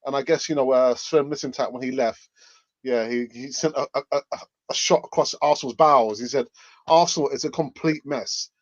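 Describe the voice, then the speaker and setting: Fan-show host with a dull, dry sound. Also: male voice, mid-range voice, steady tone, brisk, dry recording. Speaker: host of a football fan live stream. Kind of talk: live stream chat, reading viewer comments.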